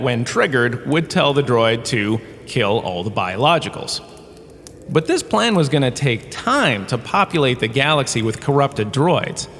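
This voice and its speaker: A man's voice: fairly high pitch, a bit bright. A man playing a glib, self-amused washed-up drama star giving a wry, conversational comedic lecture with theatrical emphasis. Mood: wry, explanatory. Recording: echoey cave-like reverb, ambient noise behind.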